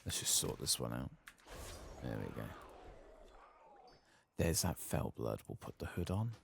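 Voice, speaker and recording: Deep, very creaky soft-spoken man. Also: sing-song, unhurried. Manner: soft-spoken, murmuring, partly whispered. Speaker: gaming streamer. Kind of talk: soft-spoken ASMR game playthrough commentary. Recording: faint background noise.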